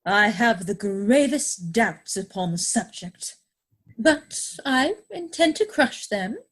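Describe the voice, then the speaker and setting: A woman's voice: mid-range voice, sing-song, slow, husky, heavily acted. A woman playing a self-assured young society lady in a period stage comedy, speaking with affected, grand earnestness. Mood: earnest.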